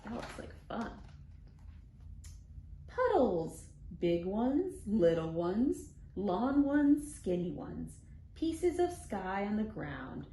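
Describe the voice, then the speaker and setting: A woman reading a picture book aloud to young children as a storytime host, in an animated, sing-song way with deliberate phrasing and playful sound words. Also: medium pitch. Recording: roomy echo, faint background noise.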